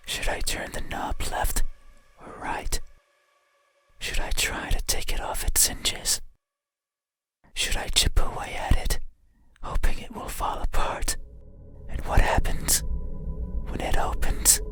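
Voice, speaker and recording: A man voicing a mysterious wandering night creature for a horror ASMR visual novel, lost and obsessed, in a breathy, halting, menacingly gentle whisper. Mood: pensive, menacing. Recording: eerie music behind the voice. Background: loud scary music.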